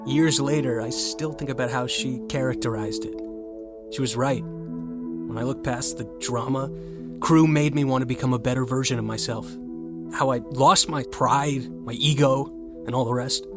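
A man's voice: medium pitch, fairly even intonation, normal speed, loud music behind the voice, close to an everyday voice. A man playing a sarcastic, stubborn young college rower in a naturalistic audio drama. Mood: reflective. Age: young adult. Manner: wry, sardonic.

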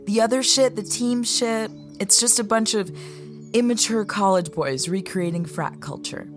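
A woman's voice: mid-range voice, normal speed, music in the background, natural voice. A woman playing a concerned, frank young college rowing mentor in a naturalistic audio drama. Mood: earnest, passionate. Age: young adult. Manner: frank, earnest.

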